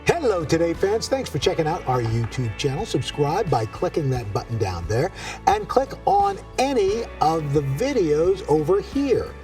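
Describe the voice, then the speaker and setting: A man reads a channel promo in a bouncy, sing-song way, his voice swooping a lot on a slightly high pitch. He sounds set back from the mic, with loud music playing under him. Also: wildly swooping pitch, normal speed. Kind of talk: upbeat promo read. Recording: distant voice over loud music.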